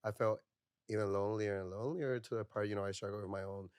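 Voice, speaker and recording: A young adult man from Puerto Rico, a counselor speaking as a podcast guest in candid conversation, reflective and soft-spoken, peppering his talk with "like" and "you know". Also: fairly low pitch, fairly even intonation, quick pace, clean tone, no room sound, clean studio sound.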